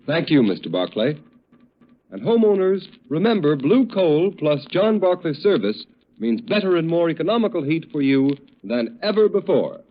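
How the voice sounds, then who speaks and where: A man's voice: a little high, sing-song, moderate pace. A man announcing in formal, emphatic 1930s radio style, reading scripted sponsor copy. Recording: muffled vintage radio recording.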